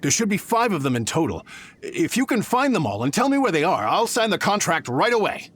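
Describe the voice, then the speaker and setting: An actor playing an opportunistic, easily cowed mineral dealer in a video game, with a hesitant, wheedling way of talking. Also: male voice, high voice, sing-song, a little fast, a bit bright, husky, full character voice. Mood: nervous, pleading. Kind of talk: scripted video game dialogue.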